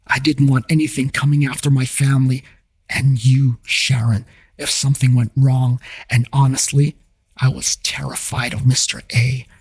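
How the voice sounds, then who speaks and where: A man's voice: mid-range voice, little pitch movement, normal speed, darkish tone, slightly rough, dry recording. A man telling a scripted first-person story as the narrator of an audio drama. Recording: music bed behind voice.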